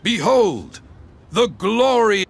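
An actor playing a grandiose storyteller in a video game, declaiming legends with drawn-out dramatic phrasing. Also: male voice, sits high, very animated, creaky, exaggerated voice. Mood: grand. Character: grandiose teahouse storyteller man spinning local legends. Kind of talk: theatrical storytelling. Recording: loud music under the voice.

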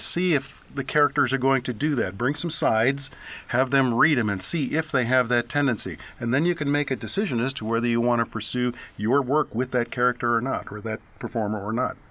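A man's voice: medium pitch, a little fast, bright, forceful tone. Male author as radio guest. Middle-range voice, talking through advice in interview answers.

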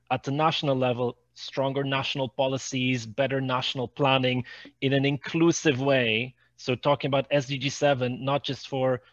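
A man with a middling pitch discusses policy as a panelist, repeating words as he assembles each point. The video-call sound is dry and a bit dull. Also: no echo.

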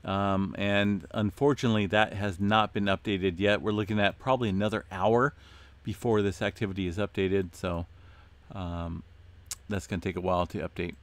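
A man hosting an earthquake update, talking casually through his screen with many fillers and a voice going hoarse. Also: fairly low pitch, normal speed, no room sound. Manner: relaxed, many "uh" fillers, addresses viewers as folks. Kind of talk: casual screen-share commentary.

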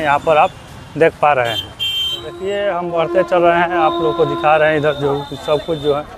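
A young man from Bihar narrating a walking vlog at an outdoor crafts fair on a lapel mic, repeating himself and loudly asking vendors for prices. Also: on the high side, noisy background. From Bihar, India. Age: young adult. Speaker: vlogger. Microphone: clip-on lapel mic.

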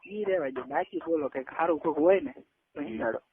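A man's voice: a little high, fast talker, slight background noise. A man, chatting casually on the phone in an insistent, coaxing way. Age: early 20s. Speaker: caller. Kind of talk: casual private phone chat. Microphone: telephone. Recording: tinny phone call recording.

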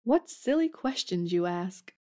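A woman's voice: on the low side, expressive, measured pace, dark tone. An actress playing a bold, blunt young woman in an audio drama, speaking forthrightly.

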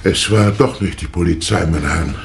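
Gravelly, courteous older professor. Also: male voice, low-pitched, normal speed. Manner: formal, courteous. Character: courteous older male professor, formal host. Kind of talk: 1960s German radio play acting. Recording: old vinyl record with surface noise.

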